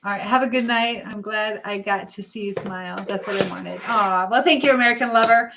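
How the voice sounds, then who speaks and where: A woman hosts an informal live stream, chatting affectionately with her viewers. She moves easily between her own remarks and comments she reads out. Noticeable background noise and small clicking sounds run behind her mid-pitched voice. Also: fairly quick, vocal fry. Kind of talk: casual live chat, reading viewer comments aloud.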